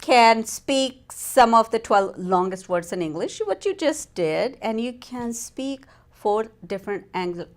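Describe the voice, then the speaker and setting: A woman interviewing a guest in a casual way, encouraging and quick to laugh, with many short affirmations. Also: on the high side, expressive.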